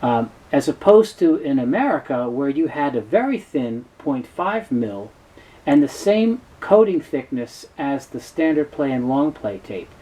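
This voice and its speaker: A man in his fifties giving an unscripted explainer in a halting, matter-of-fact way. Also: mid-range voice, sing-song, mellow, low-quality recording. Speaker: presenter, tape specialist. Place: cluttered indoor workroom with shelves. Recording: clear background noise, room ambience.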